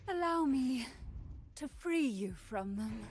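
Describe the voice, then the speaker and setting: Slow, poised, enigmatic noblewoman. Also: sits high, lively intonation. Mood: solemn. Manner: measured, poised, formal. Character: enigmatic, composed noblewoman with mystical powers. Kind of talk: dramatic video game voice acting.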